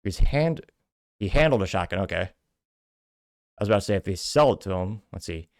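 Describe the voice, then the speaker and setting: American man, lowish voice, casual rambling commentary host who wanders into asides; dry recording. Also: lively intonation, brisk, creaky, no echo. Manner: rambling, digressive, with offhand asides. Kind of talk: casual political commentary, reading out viewer comments.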